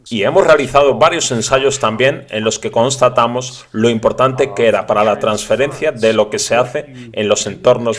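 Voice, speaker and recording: A man narrating the translation of a lecture as a voice-over. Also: medium pitch.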